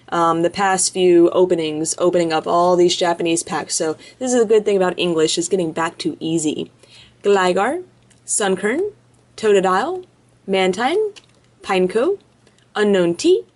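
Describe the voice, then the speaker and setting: Woman opening card packs at a table, casual chatter, reads off names in a row, medium voice. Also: medium pitch. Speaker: card-opening video host. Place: indoors at a table, off camera behind hands.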